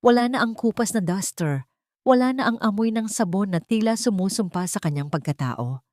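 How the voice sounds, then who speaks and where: A woman narrator reading a scripted audio drama in a sentimental, measured, dramatic way. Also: mid-range voice, lively intonation, a little mellow, no room sound, clean studio sound. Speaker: storyteller narrator.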